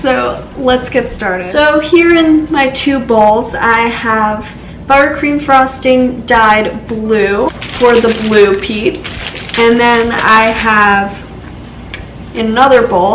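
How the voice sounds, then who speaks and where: A woman's voice: on the high side, background noise. A young woman with a stuffy, congested voice, chatting casually through a baking tutorial as the channel's host. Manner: chatty, lots of "so" and "just". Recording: roomy, from a distance, faint music.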